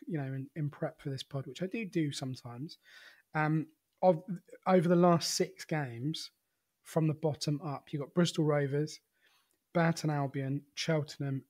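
A man with a fairly high voice talks through the football fixtures in easygoing podcast chat. He often stops to restart with a "you know". His comes through. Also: a little mellow. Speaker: podcast co-host.

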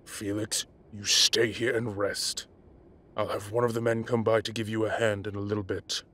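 A man acts out a half-dragon mafia boss in an audio roleplay. His voice is lowish, rough and hard-edged. He is commanding and impatient, scolding his men while looking out for them. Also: flat delivery, normal speed, pushed and bright. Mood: concerned, firm. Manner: barking, bossy, scolding.

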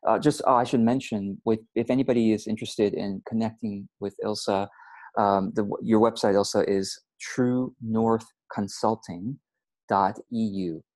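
A man interviewing a client on a video call from a room with bookshelves, asking relaxed, encouraging questions. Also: fairly low pitch, a little slow, rough recording. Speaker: interviewer, business coach. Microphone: earphone mic on a video call.

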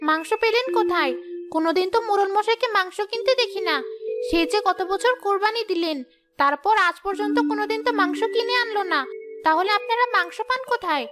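A woman's voice: very high voice, fairly quick, background noise. A woman playing a poor but proud, outspoken village housemaid with a plaintive, indignant manner in a cartoon. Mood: questioning. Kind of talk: cartoon dialogue. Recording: music behind the voice.

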